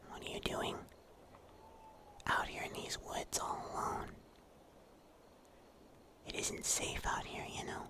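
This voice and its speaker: Casting: male performer as mysterious huntsman of the dark woods, wry yet protective, breathy whisper, slow delivery, ASMR roleplay. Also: natural voice. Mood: concerned, hushed. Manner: slow, hushed, gently teasing.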